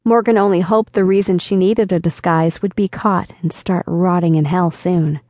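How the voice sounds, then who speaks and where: A woman audiobook narrator reading a novel in a steady, intimate storytelling voice. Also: fairly low pitch, moderate pace, no echo, studio quality. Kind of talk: scripted narration.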